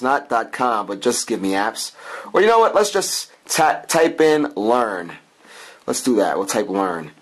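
A man hosting an informal, improvised product review, talking through a hands-on demo and often repeating his points. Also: medium pitch. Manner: talks in plural "we", informal, improvised and repetitive. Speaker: app reviewer, channel host.

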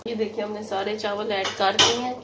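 Flat-voiced woman narrating a recipe over sizzling. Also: mid-range voice, a little fast, heavy background noise. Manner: steady, instructive, repeats "ye dekhiye". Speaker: cooking channel host. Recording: loud kitchen sizzle behind.

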